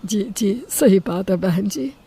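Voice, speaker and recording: A woman acts out a middle-aged father of a bride in a dramatized story. The voice sits at a medium female pitch, meek, deferential and soothing. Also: slow, mellow, a little hiss or hum. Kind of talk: acted Hindi audio story.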